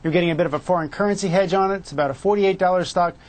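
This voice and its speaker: A man on a business TV panel, talking about stocks in a rapid, chatty way. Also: high voice. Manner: rapid, chatty, laughs between points. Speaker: market panelist. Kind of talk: TV panel commentary.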